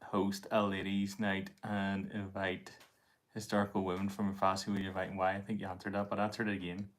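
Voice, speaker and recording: A man's voice: deep voice, monotone, fairly quick. A man acting as co-host on a livestream, briefly relaying viewers' questions and comments in a matter-of-fact way.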